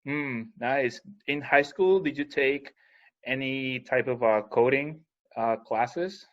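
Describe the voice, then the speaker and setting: A man's voice: mid-range voice, muffled recording. A man from Peru in his thirties, hosting an interview in a chatty, rambling way. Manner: chatty, rambling, quick asides. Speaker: podcast host. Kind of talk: casual interview talk.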